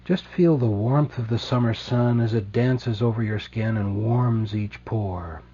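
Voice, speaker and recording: A man with a low-pitched, soft and mellow voice guides a meditation. He speaks gently and soothingly, letting each phrase settle before the next. The recording is somewhat muffled, with a faint layer of hum and noise. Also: breathy edge. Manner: slow, soothing, gentle delivery with deliberate pauses.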